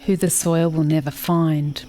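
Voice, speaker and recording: A woman's voice: low voice, level tone, unhurried, warm tone. An actress playing a mournful settler woman in poetic radio-play narration of family loss. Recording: faint ambient noise behind.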